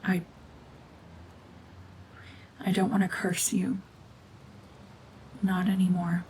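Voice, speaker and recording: A woman acting a cold, domineering mutant witch in an ASMR roleplay drama, hushed and often whispering, with terse, guarded commands. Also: fairly low pitch, completely flat delivery, slow, a little hiss or hum. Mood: guarded, softening. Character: renegade mutant cyborg gorgon witch, female, cold, domineering, slowly softening.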